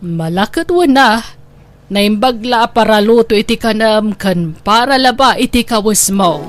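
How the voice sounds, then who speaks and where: A woman's voice: on the high side, animated, a little slow, no echo, soft background music. A woman with an Ilocano accent playing a nosy, plain-spoken older landlady in a radio drama. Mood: inquisitive, frank.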